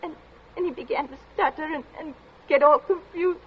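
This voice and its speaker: A woman playing a frightened, distraught young daughter in a radio drama, halting, stammering and tearful as she answers her stern father. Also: high voice, natural voice. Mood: hesitant, fearful. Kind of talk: 1940s radio drama acting. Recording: muffled, noisy old radio recording.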